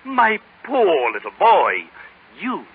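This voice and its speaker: An actor playing an oily, theatrical swindler with a raspy voice and effusive, flowery manner, in a 1930s radio drama. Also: male voice, high-pitched, wildly swooping pitch, slow pace, full character voice. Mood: mock alarm. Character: theatrical, oily swindler posing as a kindly friend. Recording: muffled 1930s radio recording.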